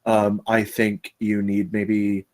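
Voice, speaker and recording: A man's voice: lowish voice, monotone, slow, a little mellow. A man giving casual spoken feedback on a script, chatty and rambling, often interrupting himself. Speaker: table read participant giving script feedback.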